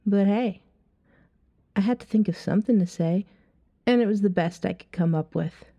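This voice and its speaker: A woman playing a flirty, self-assured female vampire in an ASMR roleplay, teasing a stranger at a bar in a soft-spoken, breathy way. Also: medium pitch, normal speed, dry recording, muffled recording, natural voice. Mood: warm, playful. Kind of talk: ASMR roleplay, acted one-sided dialogue.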